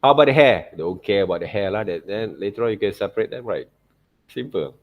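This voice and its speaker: A man with a Malaysian accent teaching an informal software tutorial, often checking in with short tag words like okay and right. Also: fairly low pitch, expressive, quick pace, lo-fi sound. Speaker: art teacher.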